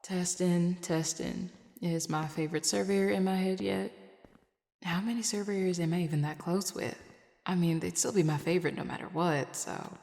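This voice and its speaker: Woman voicing a low, flirty Southern werewolf. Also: steady tone, moderate pace, darkish tone, lightly acted. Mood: tender, flirty. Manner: drawling, teasing, sighing, casual and affectionate. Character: flirty, affectionate Southern werewolf, adult, smitten and teasing. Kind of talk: intimate audio roleplay, one-sided conversation.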